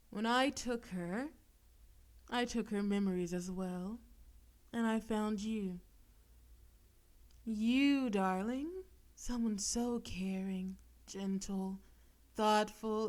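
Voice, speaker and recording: A woman voicing a possessive, seductive female demon in an intimate audio roleplay, teasing and coaxing with theatrical menace, sometimes breathy. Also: mid-range voice, a little mellow, lightly acted. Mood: adoring, possessive. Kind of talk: intimate audio roleplay, scripted monologue to listener.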